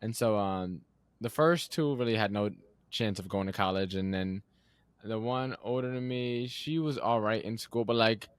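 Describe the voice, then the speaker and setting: A young adult man hosting a podcast, chatting in a relaxed, conversational way with casual slang. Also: on the low side, moderate pace, smooth, dry recording. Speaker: podcast host. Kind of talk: casual interview chat.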